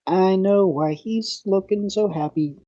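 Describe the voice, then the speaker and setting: Woman, teasing listener, husky mellow voice, dull lo-fi sound. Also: mid-range voice, measured pace. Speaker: listener chatting with the author.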